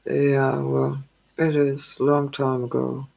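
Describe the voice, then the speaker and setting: A weary woman talks to her online viewers at a slow, unhurried pace. Her voice is gravelly and drowsy, soft and calming. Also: very deep voice, lo-fi sound. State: tired. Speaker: tarot livestream host. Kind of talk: casual livestream chat with viewers.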